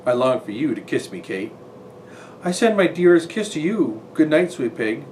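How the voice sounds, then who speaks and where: A man's voice: fairly high pitch, big pitch swings, moderate pace, darkish tone, a little hiss or hum. A man on a school staff reading a children's story and its verse aloud with expression.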